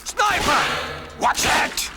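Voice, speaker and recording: An actor playing an urgent British Second World War commando squad leader in a video game, with clipped, commanding delivery. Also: male voice, takes it slowly, natural voice. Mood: shouting, urgent. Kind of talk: military video game acting. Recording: loud game music behind.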